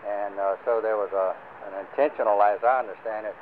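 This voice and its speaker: An older man leading a church group, talking casually with many pauses and fillers. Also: on the low side, fairly even intonation. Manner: rambling, many fillers and restarts. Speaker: church class leader. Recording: lo-fi phone-like recording with faint hiss.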